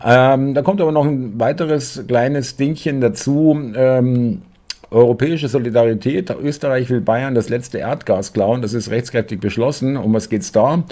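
A man's voice: mid-range voice, moderate pace, warm tone. A man in his forties giving sarcastic political commentary while reading news articles aloud from his desk, full of hesitations.

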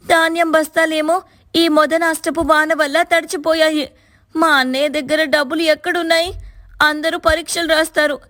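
A man voicing a sharp-tongued, spoiled young woman who snaps and complains in a storybook reading. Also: no room sound, clean studio sound, full character voice. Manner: shrill, scornful, quick to anger. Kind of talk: storybook narration.

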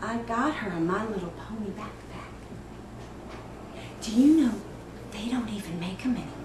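A woman playing a disturbed, wistful, eerily childlike mother in a dramatic film monologue, halting and drifting between murmurs and sudden emphasis. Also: medium pitch, expressive, close to an everyday voice. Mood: fond, wistful. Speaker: actor performing a film monologue. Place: echoey indoor studio room. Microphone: distant microphone. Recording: echoey room, distant mic, clear noise.

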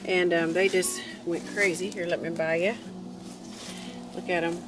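A woman's voice: on the low side, steady tone, moderate pace, low-quality recording. Woman, cheerful chatty garden tour, outdoors on a handheld phone, guitar music under it. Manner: chatty, cheerful, thinks aloud. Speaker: homesteader vlogger. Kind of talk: casual walking garden tour. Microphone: phone camera held while walking.

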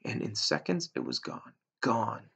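A man in his thirties with a gravelly, husky voice, reading a storybook aloud like a teacher to a class. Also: mid-range voice, huge pitch swings, a little slow, a bit bright. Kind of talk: read-aloud storytelling narration. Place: small room indoors at home. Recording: dull, lo-fi webcam sound.

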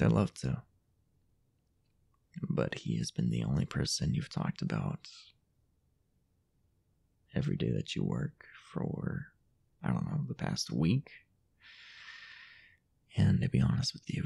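A man performs a teasing, possessive young boyfriend in intimate roleplay audio. His low voice is husky and breathy, swinging widely between murmur and lift. He coaxes coyly, with hesitant pauses and a dominant edge. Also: mellow, heavily acted. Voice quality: husky, gravelly, often breathy or whispered. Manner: hesitant, coy, coaxing murmur with drawn-out pauses.

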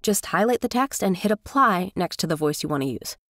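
A woman's voice: on the low side, animated, a little fast, fairly bright tone, no echo. Chatty woman, dry close recording. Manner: informal, chatty. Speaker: tutorial host, professional narrator. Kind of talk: casual software tutorial voice-over.

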